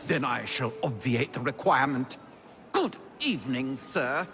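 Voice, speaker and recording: A man's voice: medium pitch, animated, unhurried, bright, forceful tone, a little hiss or hum. An actor with an English accent playing a haughty, indignant elderly Victorian statesman, pompous and formal, in a video game. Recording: music behind the voice.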